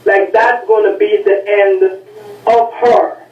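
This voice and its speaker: A woman's voice: medium pitch, little pitch movement, slow, a little mellow, reverberant, recorded from a distance, highs cut off. A woman speaking hesitantly with many fillers on a talk show. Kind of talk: casual talk show chat.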